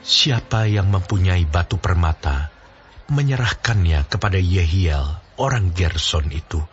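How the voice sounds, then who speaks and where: A male narrator reads scripture as scripted audio drama narration. His voice is very low. The recording is a little dull, with soft music in the background. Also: animated, unhurried.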